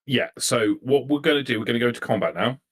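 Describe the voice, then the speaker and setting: A man acting as game master, narrating a tabletop game in a halting, improvised way and repeating phrases as he thinks. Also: medium pitch, fairly bright tone.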